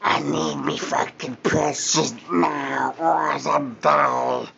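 A croaking, gravel-throated creature that drags every word out and whines for its lost treasure. The game audio is muffled and lo-fi, with steady noise underneath. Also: male voice, fairly high pitch, exaggerated voice. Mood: frantic. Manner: drawn-out, whining, cursing demands.

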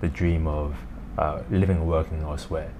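A man who grew up in the United Kingdom, a podcast guest talking in a measured, thoughtful way with frequent fillers. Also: very deep voice, flat delivery, mellow. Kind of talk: casual chat. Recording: noticeable background noise.

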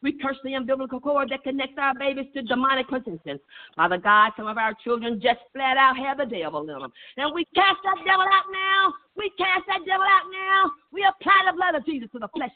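A woman's voice: high-pitched, lively intonation, brisk. A woman leading fervent charismatic prayer in an impassioned, repetitive, chant-like way over a tinny phone line. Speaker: prayer leader. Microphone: telephone.

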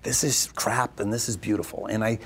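A man from Arizona, a venture capitalist answering interview questions in a fast, animated way with quick restarts. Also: lowish voice, slightly rough. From Arizona, USA.

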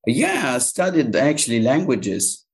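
A man from Egypt whose first language is Arabic, answering interview questions thoughtfully with many pauses and fillers. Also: medium pitch, huge pitch swings, gravelly. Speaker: localization agency owner, guest.

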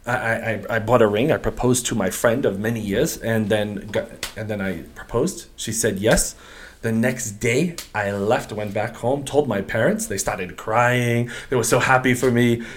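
A middle-aged man from Portugal speaks as a podcast guest, easygoing and chatty. He wanders through his story with plenty of fillers, slangy asides and restarts. His voice sits at an ordinary middle pitch. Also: moderate pace. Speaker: guest, business owner. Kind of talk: casual interview chat.

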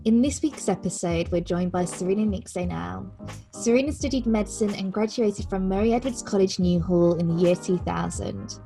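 A woman's voice: medium pitch, a little mellow, low-quality recording. A young woman hosting a podcast, presenting scripted introductions and putting questions to a guest. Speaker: podcast host, interviewer.

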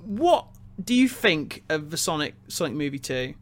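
Male podcast host, quite high voice, very bright tone, halting starts, repeated words, casual review chat. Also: sing-song.

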